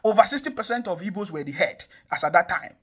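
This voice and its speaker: A man's voice: high voice, lively intonation, fast, a bit bright, breathy edge. A young Nigerian man from the South South giving impassioned political commentary, emphatic and repetitive, with frequent rhetorical questions. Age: young adult. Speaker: online commentator.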